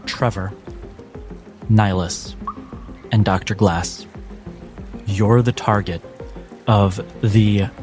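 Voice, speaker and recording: A man running a tabletop game as game master, narrating dramatically in deliberate, theatrical phrases over background music. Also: fairly low pitch. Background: cinematic background music.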